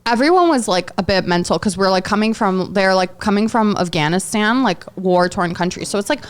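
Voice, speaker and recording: Smooth-voiced rambling young woman. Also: mid-range voice, expressive, fairly quick. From Tajikistan. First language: Dari. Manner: rambling, full of "like" fillers, frank storytelling. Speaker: podcast guest. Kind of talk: casual chat.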